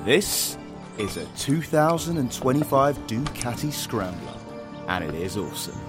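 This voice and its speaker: An actor playing a confident, determined young freedom fighter in an audio drama, matter-of-fact and a little cocky. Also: male voice, mid-range voice, sing-song, unhurried, music in the background. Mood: cocky, enthusiastic.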